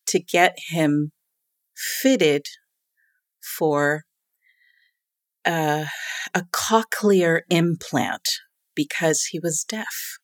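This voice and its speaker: A middle-aged woman telling a personal story in a casual chat, animated and quick to laugh, with a loud voice. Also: lowish voice, no echo, studio quality. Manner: calls herself loud-voiced.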